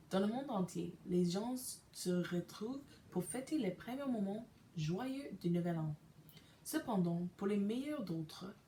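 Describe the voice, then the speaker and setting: Slow, careful English-Canadian woman reading. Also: mid-range voice, warm tone, reverberant, slight background noise. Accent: English-speaking Canadian. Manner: hesitant, pauses with euh, restarts mispronounced words. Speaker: podcaster reading a devotional. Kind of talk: slow careful reading aloud in a second language.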